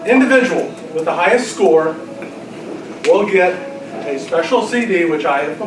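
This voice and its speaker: Male amateur quiz master, high voice, joking style, recorded from a distance. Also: animated, normal speed. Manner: joking, bantering. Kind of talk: informal quiz hosting to a group. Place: luncheon gathering in a room. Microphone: far from the speaker. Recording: distant echoey room, loud crowd noise.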